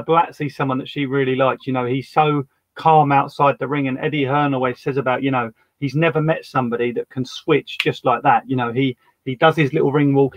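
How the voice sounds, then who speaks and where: Co-host, easygoing, muffled dry call audio. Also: male voice, mid-range voice, no echo. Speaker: podcast co-host. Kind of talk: casual chat.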